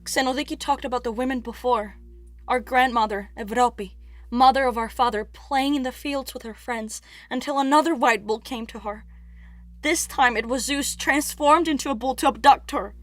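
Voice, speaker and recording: An actress playing a wistful, proud young princess, telling her story in measured, poetic theatre monologue. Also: a little high, natural voice. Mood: solemn storytelling. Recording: music under the voice.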